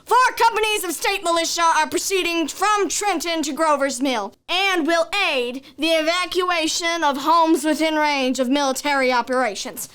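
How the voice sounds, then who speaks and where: A stern militia general acted by a woman in a radio play, with a high-pitched voice. The delivery is official and authoritative, like a read proclamation. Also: normal speed, few pauses, a bit bright. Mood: official, firm.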